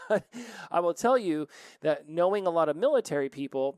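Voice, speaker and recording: A man's voice: mid-range voice, a little mellow, dry recording. A man in his forties hosting a recovery podcast, giving an earnest solo motivational talk full of rhetorical questions. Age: 40s.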